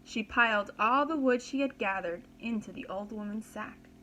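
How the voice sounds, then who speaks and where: A woman teacher reading a storybook aloud to young children, deliberate and expressive, pausing to explain. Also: on the high side, slight background noise, poor audio. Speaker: pre-kindergarten teacher.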